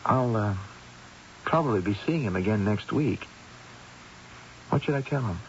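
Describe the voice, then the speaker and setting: An actor playing a mild young grown son and expectant father in an old-time radio drama, speaking in a halting, hesitant way. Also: fairly low pitch, expressive. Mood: hesitant, concerned. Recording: hissy, dull old radio recording.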